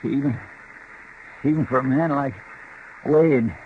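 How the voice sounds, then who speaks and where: An actor playing a loyal, earnest frontier deputy on a vintage radio western, speaking in a folksy drawl. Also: male voice, medium pitch, slow pace, natural voice. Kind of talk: 1950s radio western acting. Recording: tinny old radio broadcast with hiss.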